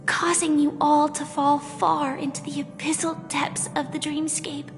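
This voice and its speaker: An actress voicing a gentle young woman who haltingly confesses a hidden identity in a video game, in a soft, earnest way. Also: high-pitched, flat delivery. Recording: game audio with reverb and music.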